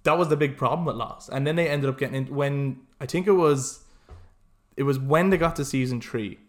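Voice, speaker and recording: A man hosting a casual podcast, talking in an animated, rambling, opinionated way. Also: mid-range voice, fairly quick. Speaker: podcast host.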